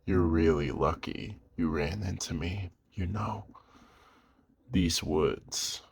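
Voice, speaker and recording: A man voicing a gruff but caring werewolf of the woods in an audio roleplay, speaking gently and protectively to the listener in a gravelly, husky voice. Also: low voice, slow, heavily acted. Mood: concerned.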